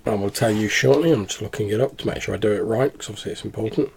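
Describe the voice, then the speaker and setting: A man with a low, husky voice acts as game master for a tabletop game. He explains the scene informally, as if chatting with the players. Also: very fast, a little mellow. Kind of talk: casual tabletop game narration.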